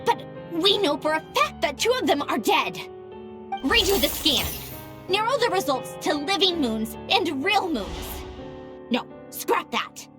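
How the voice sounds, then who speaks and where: A woman voicing an excitable, obsessive young researcher in a video game cutscene, thinking aloud in theatrical bursts. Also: very high-pitched, measured pace, over music, full character voice. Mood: frustrated, baffled. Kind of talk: animated game cutscene voice acting.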